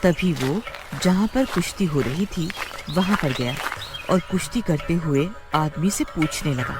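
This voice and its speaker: Animated woman narrating a story. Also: deep voice, measured pace, music in the background.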